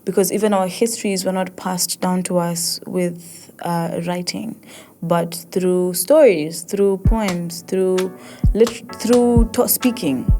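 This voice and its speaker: Low-pitched East African woman, informal and reflective. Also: slow, soft background music. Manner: informal, expressive, searching for words with repetitions. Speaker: host and poet. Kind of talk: casual reflective monologue with code-switching.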